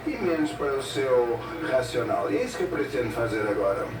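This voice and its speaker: A man, interpreting a speech at an event in short, halting phrases with pauses. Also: mid-range voice, big pitch swings, noisy background. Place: event hall with an audience. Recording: echoey hall from far off, loud music behind.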